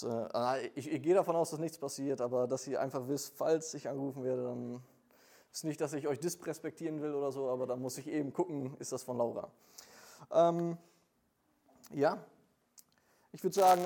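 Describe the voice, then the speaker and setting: Hesitant young preacher with a mellow voice. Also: male voice, medium pitch. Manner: hesitant, many fillers, plain earnest delivery. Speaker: lay preacher and missionary. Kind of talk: informal church sermon with prayer.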